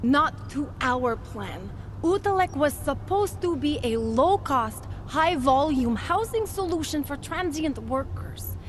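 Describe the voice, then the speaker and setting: Game role: female company rep, earnest and persuasive, high register, lively swooping intonation, true-believer polish. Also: slow, hardly any pauses, a little hiss or hum. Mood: defensive, assured. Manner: fervent, polished sales pitch delivery. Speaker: company representative. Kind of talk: video game dialogue acting.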